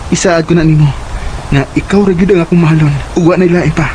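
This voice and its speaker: A man playing an earnest, gentle young suitor in Cebuano radio drama, with a Cebuano accent. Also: on the high side, steady tone, fast, loud music behind the voice, close to an everyday voice. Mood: tender.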